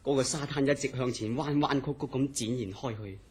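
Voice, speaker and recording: An actor playing an earnest, mysterious scientist in a horror radio drama, telling his story in a serious, deliberate way. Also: medium pitch, breathy edge, lightly acted. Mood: wondering, earnest. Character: earnest, mysterious scientist recounting uncanny dreams. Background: background music.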